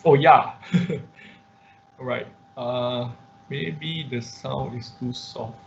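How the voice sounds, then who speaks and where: A man instructing an online class through a live software demonstration, talking haltingly as he thinks aloud. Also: medium pitch, fairly even intonation, gravelly. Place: indoors, online class at a computer. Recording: muffled online class audio with background noise.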